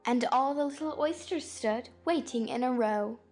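A woman plays an agreeable twin brother from a storybook. The voice is husky and singsong, with faint music behind the verse. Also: sits high, moderate pace, a little mellow. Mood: storytelling. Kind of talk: dramatized audiobook acting.

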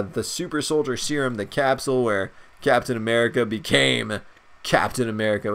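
A young man with a stuffed-up nose, chatting excitedly and rambling through a video game's shop menus as a gaming channel host. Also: medium pitch. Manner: excited, rambling, many fillers. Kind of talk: casual gameplay commentary.

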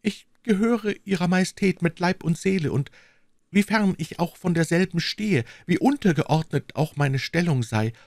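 A man voicing a gentle, devoted young maidservant with a soft, sympathetic manner in an audiobook reading. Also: sits high, moderate pace, no echo, studio quality, pitched above the performer's own voice.

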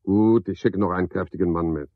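An actor playing a garrulous, pompous, sardonic porter at a boarding house, with a husky voice, in a radio play monologue. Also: male voice, low voice, fairly quick, no echo, narrow, tinny audio. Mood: officious, wry. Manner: rambling, pompous monologue with mocking asides. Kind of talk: 1970s German radio play acting.

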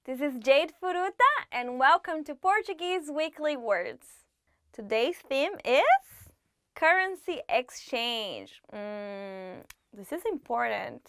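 Young woman, language teacher, high crisp voice, dry close sound, unhurried drills. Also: animated, sharp tone, dry recording. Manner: clear bilingual drilling, repeats each phrase slowly. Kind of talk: scripted vocabulary lesson. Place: indoor room.

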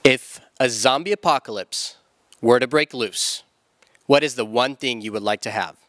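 Young man as joke reporter, deadpan clipped questions, handheld mic, dry close sound. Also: medium pitch, moderate pace, no room sound. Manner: terse, repetitive one-word questions. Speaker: mock street reporter. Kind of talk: comedic deadpan interview questions. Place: indoor church hall stage.

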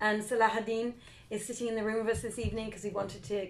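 A woman's voice: on the high side, level tone, racing, rough recording. A woman co-hosting a live reading in a bookshop, chatting casually and laughing often. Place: bookshop room.

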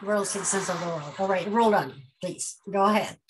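A woman's voice: mid-range voice, fairly quick, slight background noise. A middle-aged woman hosting a casual interview on a video call from home, playful and chatty, talking around her points. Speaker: host. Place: home room.

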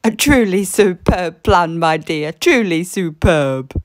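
A woman's voice: on the low side, animated, slow, dry recording, clean studio sound, voice pushed down. A woman voicing a storybook lighthouse keeper, an older man with pompous, emphatic, old-fashioned formal speech. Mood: pleased.

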